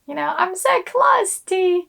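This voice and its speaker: Young woman language teacher, hoarse, high-voiced, relaxed and chatty. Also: takes it slowly. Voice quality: hoarse, gravelly. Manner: relaxed, chatty explaining with many fillers. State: hoarse from illness. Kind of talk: casual online language lesson.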